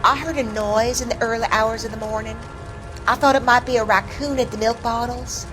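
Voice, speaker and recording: A woman's voice: fairly high pitch, steady tone, brisk, over music, close to an everyday voice, poor audio. An actress playing a defensive landlady who answers a detective evasively and indignantly. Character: defensive landlady protecting her reputation.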